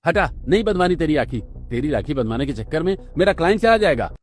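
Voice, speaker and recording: A man's voice: fairly high pitch, very animated, quick pace, slight background noise, pitched above the performer's own voice. A man voicing a rude, impatient, self-important young businessman brother in a cartoon story, curt and dismissive. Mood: angry. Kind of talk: Hindi cartoon story dialogue.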